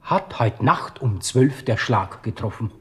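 An actor playing a deferential, gossipy coffeehouse waiter with a Viennese accent in a radio drama. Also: male voice, mid-range voice, lively intonation, close to an everyday voice. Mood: eager, gossipy. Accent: Viennese Austrian. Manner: servile, chatty, drawn-out storytelling.

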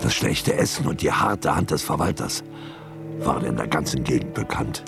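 Very deep, creaky male narrator. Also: lively intonation, music in the background. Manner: measured storytelling. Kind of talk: scripted radio drama narration.

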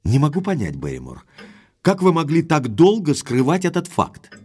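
An actor playing an earnest young gentleman heir in an audio drama, direct, courteous and inquisitive, with quiet authority. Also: on the high side, wildly swooping pitch, normal speed, slightly rough, full character voice. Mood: questioning.

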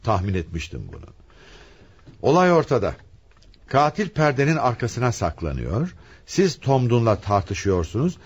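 Radio play detective, brisk, authoritative, raspy, medium pitch, very animated delivery. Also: male voice. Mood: confident. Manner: commanding, deliberate, theatrical. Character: brisk, authoritative male police commissioner interrogating suspects.

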